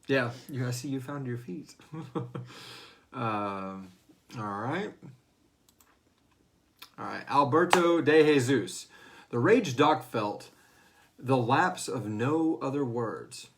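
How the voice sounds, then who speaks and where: A man's voice: mid-range voice, lively intonation, takes it slowly, long pauses. A man hosting a casual question-and-answer discussion as the writer of a fiction series, talking informally and slipping into bits of acted dialogue. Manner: informal, acts out character lines in passing. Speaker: host and writer of a serialized audio fiction series.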